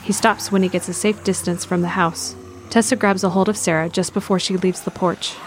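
A woman's voice: on the low side, fairly even intonation, brisk, noisy background. A woman reading scripted present-tense narration for an audio drama.

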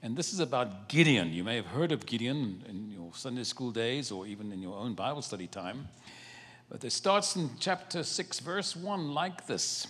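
A man's voice: mid-range voice, animated, moderate pace, fairly bright tone. A man with a South African accent, a guest preacher, joking lightly and then reading scripture dramatically in a sermon. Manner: playful, dramatic Bible reading. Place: church sanctuary. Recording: church hall reverb.

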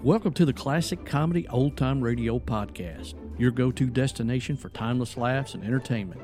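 A man's voice: medium pitch, a little fast. Male podcast announcer over prominent music. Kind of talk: scripted promotional narration.

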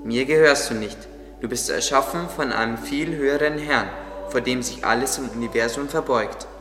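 A man's voice: medium pitch, normal speed, close to an everyday voice. A man playing a kindly, devout gardener who explains things earnestly in an audio drama. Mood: devout, teaching. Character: devout, kindly male gardener, patient teacher. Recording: echoey, long reverb, under music. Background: music.

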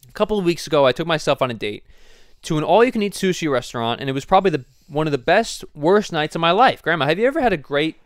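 A man's voice: a little high, animated, fast, dry recording, clean studio sound. A young man hosting a casual podcast, teasing and playfully questioning his grandmother. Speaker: podcast host.

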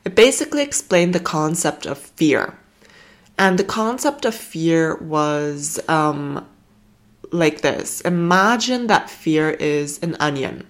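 A woman's voice: deep voice, lively intonation, takes it slowly. A woman hosting a self-help podcast, talking casually and affectionately with fillers, while she has a cold. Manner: gentle, affectionate, rambling with fillers. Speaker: podcast host. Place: home in Germany.

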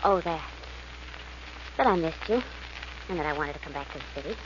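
Lively, plucky 1940s radio sweetheart. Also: female voice, low-pitched, a little fast. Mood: coy, breezy. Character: Mary, plucky, playful girlfriend of a detective. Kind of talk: 1940s radio drama acting. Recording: muffled, noisy old radio recording.

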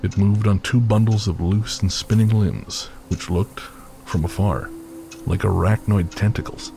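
A man's voice: lowish voice, fairly even intonation, measured pace, gravelly, dark tone, a little hiss or hum. A man narrating a scripted literary horror story for an audio drama. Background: faint music.

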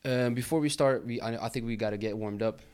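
A young man who grew up in Brazil, hosting a casual podcast interview, talking in halting bursts with repeated words. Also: medium pitch, a little mellow, clear, resonant tone. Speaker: podcast host.

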